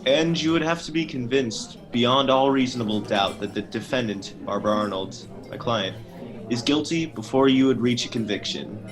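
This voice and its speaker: A man playing a courteous, probing defense lawyer in a radio play, polite, measured and formal. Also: medium pitch, music in the background, natural voice.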